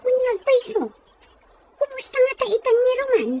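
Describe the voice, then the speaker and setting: A woman's voice: very high voice, moderate pace, background noise. A woman with a Filipino Ilocano accent playing a frank, nosy young village friend in an audio drama. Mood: prying.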